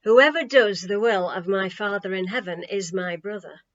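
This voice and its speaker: A calm, kindly male teacher in a children's storybook, read by a woman. He speaks with measured authority and assurance. The recording is a little muffled. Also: medium pitch, lightly acted. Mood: calm, assured.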